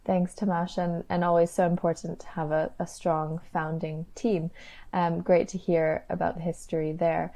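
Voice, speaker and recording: A woman's voice: fairly low pitch, flat delivery, moderate pace, dark tone. A woman interviewer putting professional questions to a guest.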